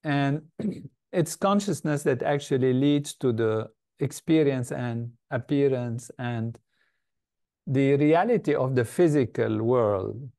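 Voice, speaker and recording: An older man from Lebanon, a guest in an interview, giving measured, thoughtful answers with frequent pauses and fillers. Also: medium pitch, mellow. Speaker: guest, meditation organization leader.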